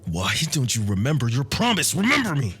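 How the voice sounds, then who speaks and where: A man playing a bitter, obsessive immortal monster spurned by a lover, in a breathy, theatrical ASMR roleplay monologue. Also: mid-range voice, huge pitch swings, slight background noise. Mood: anguished rage. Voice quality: breathy, hushed, whispery. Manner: slow, menacing, theatrical, intimate.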